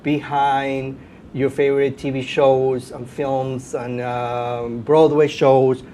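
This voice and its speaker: A middle-aged man with a Venezuelan accent tells his answers as an interview guest. His pitch is average, and he speaks conversationally with informal asides. There is noticeable low rumbling noise in the recording. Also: level tone, slow, mellow. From Caracas, Venezuela. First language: Spanish. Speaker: guest, professor and podcast host.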